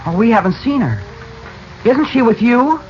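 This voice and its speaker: A man's voice: extremely high pitch, big pitch swings, moderate pace. A man playing a cocky, teasing teenage boy in a 1940s radio adventure, breezy and wisecracking. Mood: relieved, flip. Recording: dull vintage radio recording with music.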